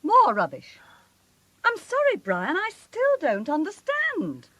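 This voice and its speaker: Shrill, swooping exasperated woman. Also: wildly swooping pitch, takes it slowly, a bit bright, no echo, full character voice. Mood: bewildered, exasperated. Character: bewildered, exasperated woman demanding answers. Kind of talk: comic radio play acting.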